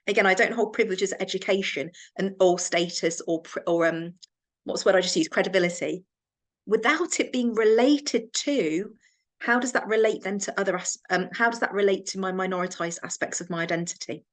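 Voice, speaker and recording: An English woman, a counsellor and trainer, giving a reflective training talk with measured pacing and frequent restarts. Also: mid-range voice.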